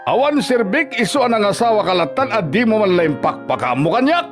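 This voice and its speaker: A man's voice: sits high, very animated, fairly quick, music in the background. An actor with a Filipino accent playing a domineering, scornful older father-in-law in an Ilocano radio drama, scolding and badgering.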